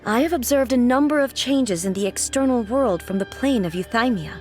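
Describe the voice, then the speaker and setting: A woman voicing an ancient, stern goddess ruler who speaks in a measured, formal and grave way. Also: on the high side, expressive, faint music behind, lightly acted. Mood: solemn. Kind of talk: game dialogue.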